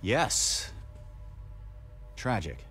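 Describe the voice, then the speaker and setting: A man playing a polite, quietly menacing charity boss in a video game cutscene. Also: on the low side, very animated, extremely slow, soft background music, heavily acted. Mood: polite, guarded.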